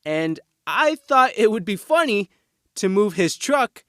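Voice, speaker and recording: A man's voice: high-pitched, big pitch swings, a little slow, sharp tone, dry recording, studio quality, full character voice. A man playing a nervous, glib teenage boy troublemaker in an audio drama, full of excuses.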